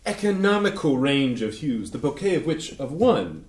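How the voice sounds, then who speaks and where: Arch, mocking food snob, read by a man; theatrical sarcasm, lively voice, echoey stage. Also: mid-range voice, normal speed, lightly acted. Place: small community playhouse stage.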